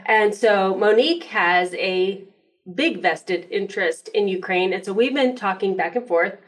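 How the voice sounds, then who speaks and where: Woman, podcast host, conversational delivery, mid-range pitch, slightly distant from the mic. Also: a little slow. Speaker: professional organizer and motivational speaker.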